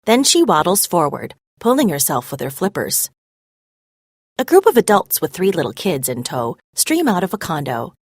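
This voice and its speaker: Animated woman reading a story aloud. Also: on the low side, normal speed, no room sound, clean studio sound. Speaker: read-aloud narrator. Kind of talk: scripted storybook narration.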